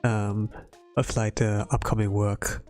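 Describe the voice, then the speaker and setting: A man from Europe, a non-native English speaker, chatting casually on a livestream in a hesitant, halting way with lots of fillers, sounding tired. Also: fairly low pitch, level tone, darkish tone. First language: not English. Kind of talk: casual rambling livestream chat. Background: background music.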